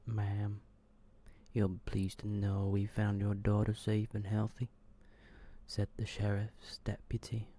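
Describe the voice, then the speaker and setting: A man softly reading short horror stories in an ASMR style, slow and hushed, often slipping into a whisper. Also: lowish voice, gravelly. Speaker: ASMR video creator and host.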